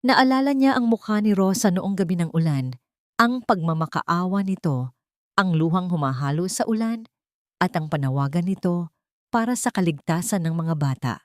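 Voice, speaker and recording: A woman's voice: fairly low pitch, animated, moderate pace, dry recording, clean studio sound. A woman narrating a scripted dramatic story in a solemn, emotive storytelling manner.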